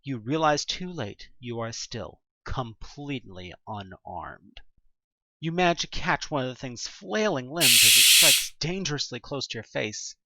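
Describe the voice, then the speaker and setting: Expressive, bright-voiced narrator with swinging pitch. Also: a little hiss or hum, poor audio. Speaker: audio play narrator. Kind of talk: dramatic scripted narration of an interactive horror story in second person.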